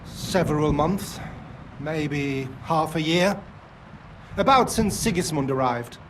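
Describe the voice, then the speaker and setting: An actor playing a nervous, defensive middle-aged ore assayer with a German accent, for a video game. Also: male voice, a little high, slow pace, a bit bright, slight background noise, poor audio. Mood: fearful. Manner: defensive, then frightened and confessing.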